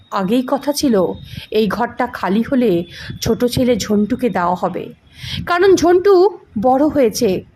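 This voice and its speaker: A woman's voice: sits high, a little mellow. A woman reading a ghost story as dramatic scripted narration. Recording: music under the voice. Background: background music.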